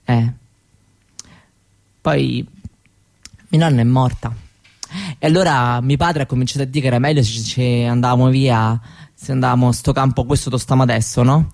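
A woman playing a candid, proud seventeen-year-old Roma girl in a radio monologue, with a Roman Italian accent and halting colloquial talk that often seeks agreement. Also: rumbling low, steady tone, gravelly, a little mellow. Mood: chatty, earnest. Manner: colloquial, halting, seeking agreement with little tag questions. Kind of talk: conversational theatrical monologue for radio.

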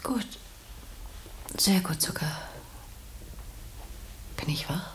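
An actress in a German science-fiction radio play, playing a dazed, withdrawn patient in a whispery, detached way. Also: female voice, fairly low pitch, very slow, fairly bright tone.

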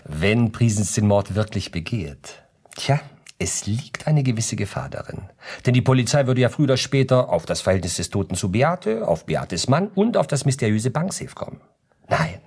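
An actor playing an urbane, pedantic lawyer in a radio play, reasoning coolly and politely in a precise, lecturing manner. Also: male voice, fairly low pitch, big pitch swings, normal speed, darkish tone, breathy edge, heavily acted. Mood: smug, reasoning. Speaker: lawyer giving legal advice. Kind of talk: German radio play dialogue.

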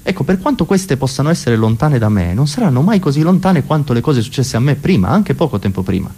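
A man's voice: medium pitch, very animated, quick pace, dark tone, breathy edge. A man performing a comic cabaret monologue in a rambling, self-interrupting, neurotic manner. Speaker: comedian.

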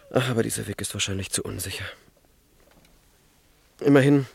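Deep-voiced, intense young revolutionary played by a man. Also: no room sound, natural voice. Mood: calculating. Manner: intense, deliberate, defensive. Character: brooding young male student revolutionary plotting an assassination. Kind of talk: dramatic radio play dialogue.

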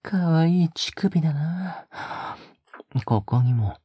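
A man playing a tender, teasing young male lover in an intimate romantic audio drama, breathy and often whispering in a soft, coaxing way close to the microphone. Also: on the high side, lively intonation, takes it slowly, no room sound, muffled recording, exaggerated voice. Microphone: close microphone, dry sound.

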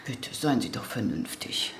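A woman's voice: extremely low pitch, big pitch swings, measured pace, a bit bright, in a whisper, full character voice. An actress in a German radio drama playing a reasonable, formal, soothing woman official in an echoey room. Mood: firm, soothing. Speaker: actor in a radio play. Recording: echoey room, background noise.

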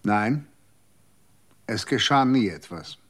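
An actor in a radio drama playing a calm, aloof former guardian of the dead who speaks curtly. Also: male voice, fairly low pitch, slow, heavily acted. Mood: cold, weary. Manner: calm, curt.

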